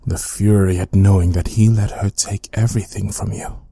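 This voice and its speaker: A man playing an obsessive, devoted butler in an ASMR roleplay, speaking formally and intimately in a soft, breathy, dark voice. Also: deep voice, steady tone, moderate pace, dry recording, exaggerated voice. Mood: reverent sorrow. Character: devoted, obsessive yandere butler, tenderly protective of mistress. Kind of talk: ASMR audio roleplay acting.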